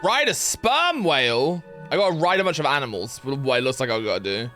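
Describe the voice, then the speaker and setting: A young man casually chatting while streaming a game, rambling with lots of fillers and excited yelps. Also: mid-range voice, fairly quick, soft background music. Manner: rambling, filler-heavy, reads chat aloud, yelps with excitement. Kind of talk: casual live-stream gameplay chat.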